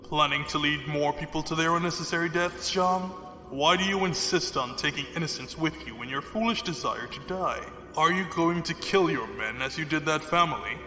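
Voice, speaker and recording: A man's voice: a little high, normal speed, sharp tone. A man playing a courtly, condescending, ruthless fleet commodore villain in an audio drama, speaking formally. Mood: cold, menacing. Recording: echoey hall reverb, music behind.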